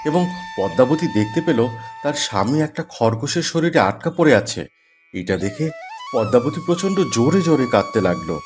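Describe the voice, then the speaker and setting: A man's voice: fairly high pitch, wildly swooping pitch, fairly quick. Man narrating a fairy tale over music.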